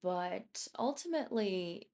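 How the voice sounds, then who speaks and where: A woman's voice: medium pitch, expressive, slow pace, darkish tone. A young woman from Poland, chatting casually as a YouTuber while she builds in a game, rambling and thinking aloud.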